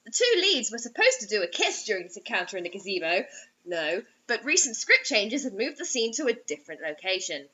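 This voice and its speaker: Female co-host for a comic story reading: lively, high, mock-dramatic and sarcastic, on slightly dull home audio. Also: fairly quick. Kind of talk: comedic dramatic reading with banter.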